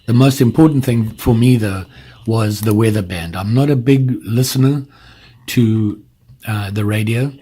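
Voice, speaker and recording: A man's voice: on the low side, measured pace, dark tone, breathy edge. A man giving a casual hands-on product demonstration, informally explaining step by step as he handles the device. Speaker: product reviewer.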